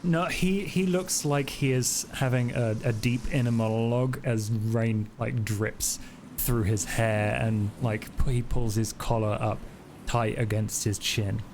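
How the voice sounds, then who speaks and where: A man improvising narration as game master of a tabletop roleplaying game, in a halting, descriptive way. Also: mid-range voice, steady tone, background noise.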